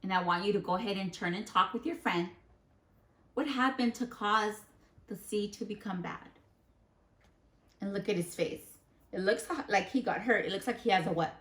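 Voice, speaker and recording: A woman teacher giving an interactive read-aloud lesson for young children, expressive, often prompting with questions and repeating key phrases. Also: medium pitch, moderate pace, long pauses. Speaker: elementary school teacher. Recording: echoey room.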